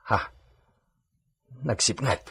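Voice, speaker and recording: An actor playing a startled, earnest household servant in a radio drama, hesitant and exclaiming, with faint noise behind him. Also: male voice, slow pace, bright, forceful tone. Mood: cautious.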